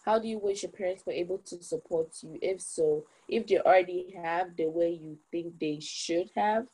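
A teenage girl hosting an interview on a video call, asking questions calmly. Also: on the low side, moderate pace, slightly rough, low-quality recording. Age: teens. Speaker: guest host. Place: small room indoors. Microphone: phone on video call.

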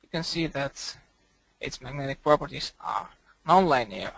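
A man presenting a software tutorial as a screencast voice-over, speaking haltingly in short broken phrases. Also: mid-range voice, animated, vocal fry, dry recording, poor audio.

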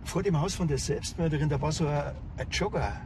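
A male actor as a laconic small-town policeman who shrugs things off. His fairly high voice is full of gravel. It is a television drama with noisy location sound. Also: brisk, close to an everyday voice. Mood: dry, unbothered.

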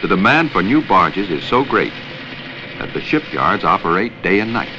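An American man reading scripted narration for a 1970 educational film in a measured, instructional way. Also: deep voice, sing-song, background noise. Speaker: documentary narrator. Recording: dull vintage 16mm film soundtrack, music.

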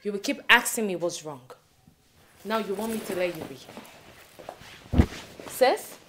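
An actress playing a concerned, nagging sister, persistent and reproachful, in a film drama. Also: female voice, medium pitch, expressive, unhurried, bright, forceful tone, a little hiss or hum.

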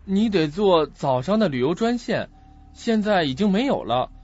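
A husky, fairly high-voiced young man played by a male actor in a radio drama. He is earnest and hesitant, speaking in halting, reflective phrases. The recording is dull and lo-fi. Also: big pitch swings, a little mellow, faint music behind. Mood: reassuring. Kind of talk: Mandarin radio drama acting.

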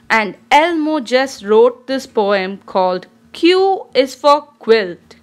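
A woman reading a children's storybook aloud in an expressive, deliberate, child-directed way. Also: high-pitched. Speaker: storybook reader.

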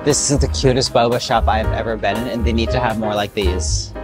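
A man's voice: fairly low pitch, steady tone, brisk, over music. Chatty young man vlogging outdoors. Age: young adult. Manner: chatty, joking, talks straight to the camera. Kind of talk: casual travel vlog. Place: outdoors on city streets, in a rickshaw and a small shop. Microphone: small clip-on mic with a wind muffler.

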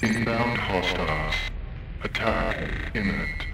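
An actor playing a grim, impersonal military defense announcer in a video game, giving clipped announcements. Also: male voice, low-pitched, takes it slowly, heavy background noise. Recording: echoing announcement, loud music behind.

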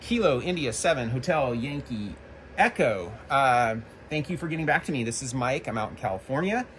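An American man chatting casually as a ham radio operator and video host, halting and informal. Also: mid-range voice, animated. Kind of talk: casual ham radio chat and vlog narration. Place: covered backyard patio, outdoors. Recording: faint outdoor background noise.

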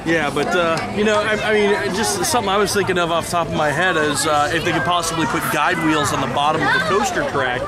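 A man co-hosting a theme park show, chatting casually outdoors and rambling with many fillers. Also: a little high. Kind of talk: casual on-location chat.

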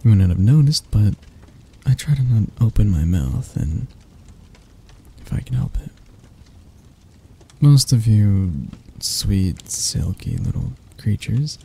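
Man performing a predatory male creature, gravelly, mellow, doting and coaxing, close-mic ASMR roleplay. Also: lowish voice, animated, exaggerated voice. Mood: predatory, teasing. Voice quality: husky, breathy, gravelly. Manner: slow, teasing, coaxing, with soft chuckles. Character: seductive, predatory male insectoid castle monster, doting yet hungry. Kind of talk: intimate ASMR roleplay acting. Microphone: close microphone.

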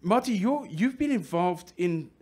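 Jokey South African radio host, pitched high. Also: male voice, measured pace, breathy edge. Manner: jokey, lively, restarts a lot, plugs the station. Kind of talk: radio interview hosting.

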